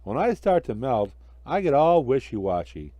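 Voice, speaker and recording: A man's voice: mid-range voice, big pitch swings, moderate pace, warm tone, dry recording, close to an everyday voice. A man voicing a naive, cheerful talking snowman with an eager, innocent manner in a children's story. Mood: earnest. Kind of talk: amateur children's storybook reading.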